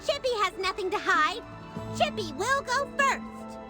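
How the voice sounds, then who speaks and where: A woman's voice: very high-pitched, lively intonation, a little slow, loud music behind the voice. A woman voicing a small, proud and dutiful hamster knight in a video game, speaking earnestly. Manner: earnest, refers to self in third person. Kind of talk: scripted game voice acting.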